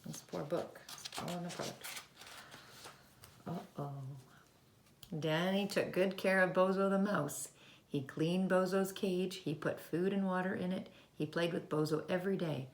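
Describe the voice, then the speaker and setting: Low, creaky woman reading to kids. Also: measured pace. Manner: expressive, talks directly to young listeners. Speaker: early childhood educator reading storybooks. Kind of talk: children's storybook read-aloud with asides.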